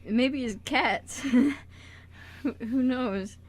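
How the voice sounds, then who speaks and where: A grieving young man in a radio play says farewell to a friend, acted by a woman. The voice is hushed and somewhat high, with tender, halting delivery. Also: heavily acted. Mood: wistful, tender. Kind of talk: amateur radio drama acting.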